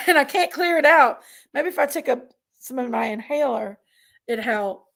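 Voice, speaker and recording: A woman with a Southern American accent, raspy and congested from allergies, chatting casually on a livestream and reading out viewers' names. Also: high voice, animated, moderate pace, a bit bright. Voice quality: raspy, gravelly. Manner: drawling, reads out chat names. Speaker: livestream host.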